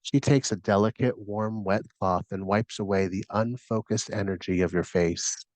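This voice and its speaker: A man narrating a scripted guided meditation as the guide, giving instructions in a soothing, measured manner. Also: lowish voice, steady tone, a little mellow, dry recording, low-quality recording.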